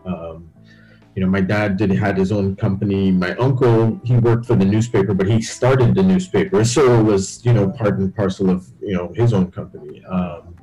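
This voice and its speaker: A man from Barbados speaks as a podcast guest, reflecting and telling stories at an easy pace. His voice is very deep and mellow. Soft music plays faintly behind him. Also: steady tone, moderate pace, rough recording. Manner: reflective, storytelling. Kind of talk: interview conversation.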